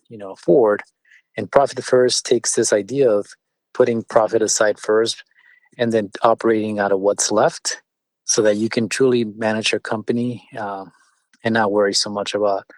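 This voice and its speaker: A man whose first language is Spanish, a business owner answering interview questions in a measured way with frequent fillers and restarts. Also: on the low side, no echo. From born in California, partly raised in Mexico City. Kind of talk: conversational interview.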